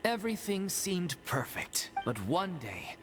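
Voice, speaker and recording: A woman's voice: on the low side, big pitch swings, measured pace. A woman playing a polite, earnest organiser of a theatre troupe in a video game, explaining things courteously. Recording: clear music behind the voice.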